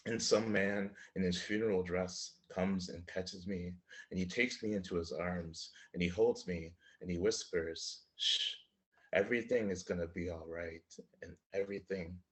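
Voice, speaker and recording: Male poet, low register, live reading of his own work. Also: fairly even intonation, moderate pace. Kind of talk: live poetry reading with spoken introductions. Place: indoor room in front of a slate wall, online reading.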